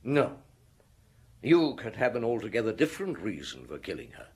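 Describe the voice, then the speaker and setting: Radio drama lead: commanding middle-aged police chief, husky voice, very varied intonation, measured and dryly polite. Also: male voice, on the low side, huge pitch swings, a little mellow, heavily acted. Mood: stern, probing. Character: shrewd, authoritative French police detective chief, middle-aged. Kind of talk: radio drama acting, questioning and recounting.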